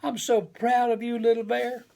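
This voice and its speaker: A man voicing a proud, encouraging father bear in a children's storybook, coaxing gently and repeating his reassurances. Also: very high-pitched, little pitch movement, moderate pace, gravelly, pitched above the performer's own voice. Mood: proud, tender. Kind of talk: children's storybook read-aloud.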